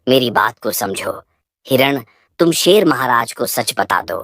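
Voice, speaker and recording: Woman playing an elder male cartoon elephant, very low pitch, wise, persuasive, concerned, dry sound. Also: expressive, unhurried, fairly bright tone, no room sound, full character voice. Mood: urging. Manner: advising, earnest. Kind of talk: children's animal moral-story cartoon.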